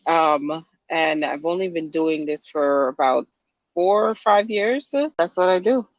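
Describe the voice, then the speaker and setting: A woman from Guyana, a guest attorney answering interview questions in a hesitant, halting way with many ums. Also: low-pitched, no room sound. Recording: dry, dull lo-fi audio.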